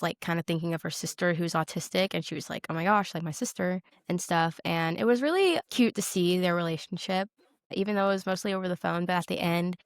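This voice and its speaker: A woman's voice: fairly low pitch, clear, resonant tone, no echo, clean studio sound. A woman co-hosting a podcast, chatting casually and informally about television, often dropping in "like". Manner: informal, peppered with "like". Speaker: podcast co-host. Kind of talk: casual chat about a TV show.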